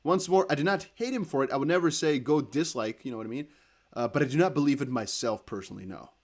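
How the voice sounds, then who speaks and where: A young man with a Dutch accent, hosting a crypto livestream in casual chat, reading viewer comments aloud. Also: medium pitch. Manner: chatty, animated, reads viewer comments aloud with quick laughs.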